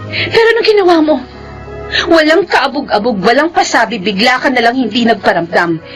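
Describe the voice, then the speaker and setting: A woman's voice: high-pitched, lively intonation, brisk. Hurt Filipino mother over music. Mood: hurt, reproachful. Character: hurt young single mother, guarded but tender. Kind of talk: Filipino radio drama acting. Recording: radio drama with music bed.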